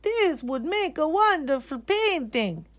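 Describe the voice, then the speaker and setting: Woman playing a kindly, musing, high-pitched young artist. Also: sing-song, takes it slowly, full character voice. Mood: inspired. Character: kindly, curious, exclamatory young male artist.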